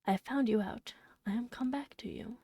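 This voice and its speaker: A woman voicing a devoted young woman from a novel, gentle and composed, with soft, tender speech. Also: a little high, normal speed, slightly rough, dry recording.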